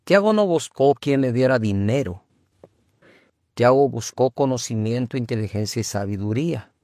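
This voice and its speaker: A pastor hosting an interview, interjecting with preachy teaching asides. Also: male voice, medium pitch, animated, normal speed, a little mellow, no room sound, clean studio sound. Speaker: pastor, host. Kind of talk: interview conversation on faith.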